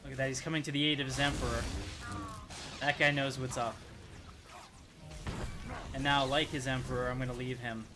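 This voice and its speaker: A man's voice: medium pitch, little pitch movement, normal speed, pauses often, noisy background. A man streaming a video game, giving casual live commentary as he plays. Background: game music.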